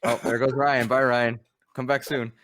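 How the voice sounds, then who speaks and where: A man's voice: mid-range voice, little pitch movement, moderate pace, fairly bright tone, no room sound. A man co-hosting a podcast, rambling loosely and laughing as he talks. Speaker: podcast co-host. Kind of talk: casual chat.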